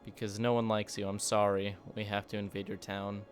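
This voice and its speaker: A man's voice: fairly low pitch, flat delivery, a little fast, faint music behind. A man streaming a city-building game, chatting casually and joking with sarcasm. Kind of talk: casual gameplay commentary.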